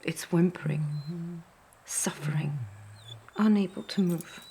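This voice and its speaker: A woman playing a compassionate, resolute heroine in intimate, deliberate first-person dramatic narration. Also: fairly low pitch, mellow. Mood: quiet, sorrowful. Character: compassionate, resolute heroine recounting mercy-killing a dying dog. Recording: clearly noisy background.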